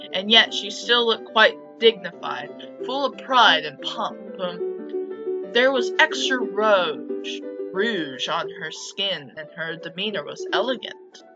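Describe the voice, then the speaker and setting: A woman reading a visual novel aloud as a let's player, in an expressive, theatrical, giggly way. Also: mid-range voice, muffled recording. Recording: music playing behind the voice. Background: game music.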